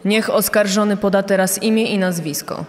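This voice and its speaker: A stern judge, acted by a woman questions formally and insistently at a middling female pitch. The voice rings with long reverb, as in a courtroom radio play. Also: steady tone, normal speed, natural voice. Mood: stern, probing. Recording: echoing hall reverb.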